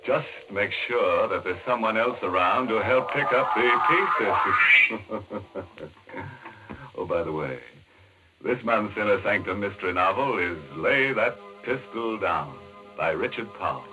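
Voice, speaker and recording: Male horror host, low-pitched and very animated, mock-ghoulish and jokey, muffled vintage radio with faint music. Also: a little slow. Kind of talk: macabre, jokey 1940s radio hosting.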